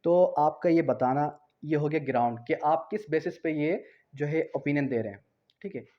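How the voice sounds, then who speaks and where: A man's voice: a little high, normal speed. A man with a Pakistani accent lecturing on law in a didactic way, posing questions and answering them, mixing English terms into his explanations. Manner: didactic, poses questions then answers them, repeats key phrases; mixes English legal terms into speech. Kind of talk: explanatory lecture with everyday examples. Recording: dull, muffled lo-fi sound.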